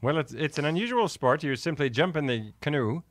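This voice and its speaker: A man's voice: fairly high pitch, lively intonation, a little fast, no echo, pitched above the performer's own voice, lightly acted. A man playing a proud, earnest Mountie, for comic radio. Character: proud, earnest Canadian male Mountie, comic straight arrow.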